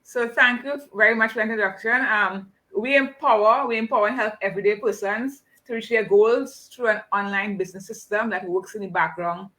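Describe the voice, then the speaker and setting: A woman's voice: medium pitch, fairly quick, few pauses, pushed and bright, poor audio. A woman with a Trinidadian accent hosting a casual live business chat, effusive and repeating phrases for emphasis.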